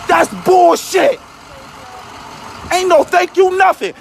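An angry, agitated man yelling in a heated street argument, swearing and repeating himself. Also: very high-pitched, steady tone, takes it slowly, vocal fry, slight background noise. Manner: loud, yelling, profane, repetitive. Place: outdoors on a city street.

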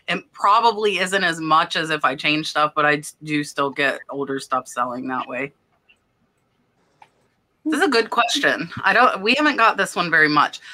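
A woman's voice: on the low side, pushed and bright. A woman hosting a casual chat, candid and a little self-deprecating. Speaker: podcast host.

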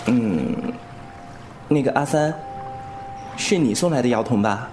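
A man's voice: medium pitch, animated, a little slow, over music, lightly acted. An actor playing a young swordsman in a wuxia audio drama, playful yet wounded and distrustful, frank about his feelings. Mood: awkward, teasing.

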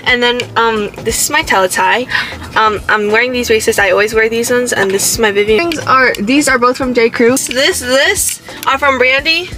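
A woman's voice: a little high, normal speed, pushed and bright, poor audio. A young woman chatting casually in a car for her vlog, bubbly and talkative with plenty of fillers. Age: young adult. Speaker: vlogger. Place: inside a parked car. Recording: background music mixed in.

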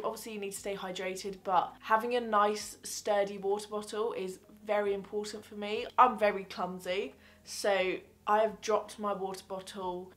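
A young woman in her twenties chatting casually to camera in a gift-guide vlog, bubbly and rambling, often restarting her sentences, recorded in a small bedroom at desk distance from the camera. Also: medium pitch. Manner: bubbly, rambling, self-correcting. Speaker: fitness vlogger. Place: small bedroom with fairy-light backdrop.

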